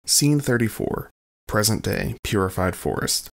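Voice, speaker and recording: A man's voice: on the low side, expressive, unhurried, vocal fry. A man giving scripted narration of scene headings as a narrator.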